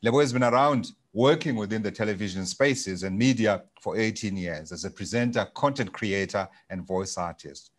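A man with a lowish voice presents a live broadcast, introducing the next guest from a studio set. He talks in a conversational way, pausing on frequent fillers as he goes.

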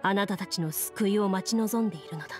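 A woman's voice: mid-range voice, darkish tone, lightly acted. A woman playing a composed game master, narrating solemnly and formally in an anime game. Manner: measured, formal. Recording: music behind the voice.

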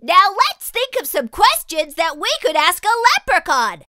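A woman's voice: extremely high pitch, sing-song, normal speed, sharp tone, no echo, clean studio sound, full character voice. A woman voicing a small, curious, childlike spot creature who eagerly asks lots of questions in a children's storybook read-aloud.

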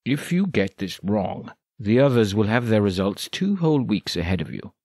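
A man's voice: fairly low pitch, very animated, moderate pace, a little mellow, husky, no echo, studio quality, full character voice. A man voicing a male club volunteer in an audiobook story, giving orderly instructions to a group. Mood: instructing.

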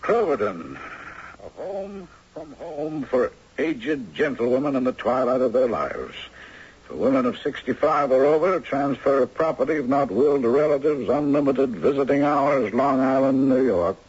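An actor playing a fond, wry retired judge in his seventies in a 1970s radio drama, raspy and courtly. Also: medium pitch, lively intonation, normal speed, darkish tone. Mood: dry, deliberate. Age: 70s. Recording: dull 1970s radio broadcast recording.

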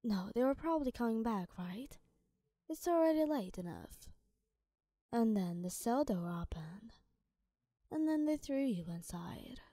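Soft-spoken woman with Arabic-tinged accent. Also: a little high, expressive, a little slow, pauses often, no echo, clean studio sound. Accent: Arabic-tinged English. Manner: soft, often whispered, sleepy. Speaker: ASMR storyteller. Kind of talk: whispered story narration.

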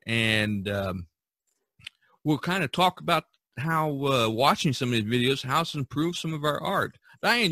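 A man hosting a podcast in a conversational style, pausing often mid-sentence. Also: medium pitch, bright, forceful tone, no echo. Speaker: podcast host.

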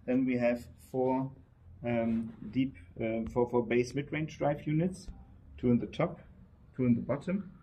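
A man explaining a product in an interview as a company representative, in a measured, technical way. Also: mid-range voice, warm tone, a little hiss or hum. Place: indoor trade show demo room.

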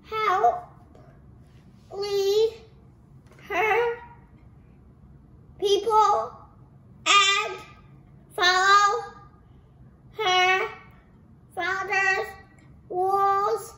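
A young-sounding reader going through a storybook aloud haltingly, word by word, repeating prompts. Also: very high-pitched, level tone, pushed and bright, roomy sound.

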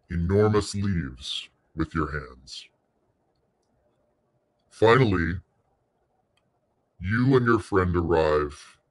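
A man leading a guided meditation in a soothing, unhurried way, pausing between short phrases. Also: low voice.

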